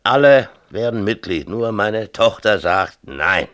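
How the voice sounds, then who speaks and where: Deep-voiced, animated, aggrieved middle-aged father. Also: takes it slowly. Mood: agitated, confiding. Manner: emphatic, agitated confessional ranting, seeking agreement. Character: tipsy, aggrieved small-town mayor and strict, troubled father. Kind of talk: 1970s East German radio drama acting.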